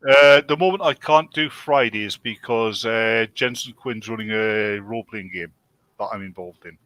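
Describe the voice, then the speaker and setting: A man's voice: on the low side, normal speed, pushed and bright, dry recording. A man bantering casually as a panelist, teasing with dry quips. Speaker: panelist on an old-time radio listening show. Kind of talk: casual joking chat.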